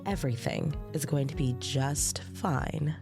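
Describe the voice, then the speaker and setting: A woman's voice: deep voice, unhurried, gravelly, music in the background. A woman playing an earnest young woman who narrates her own story expressively in an audio drama. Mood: hopeful, reflective. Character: bubbly, anxious young woman narrating her new job.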